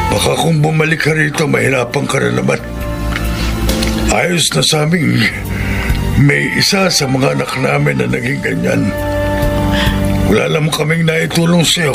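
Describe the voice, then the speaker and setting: A Filipino man playing a humble, resigned old father with a gravelly voice in a radio drama. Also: mid-range voice. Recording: loud music behind radio drama.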